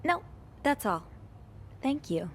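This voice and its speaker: An actress playing an earnest, curious young hotel maid in a mystery video game, speaking thoughtfully and politely in casual conversation. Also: female voice, high-pitched, very animated, takes it slowly, a little hiss or hum, exaggerated voice. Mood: thoughtful, friendly.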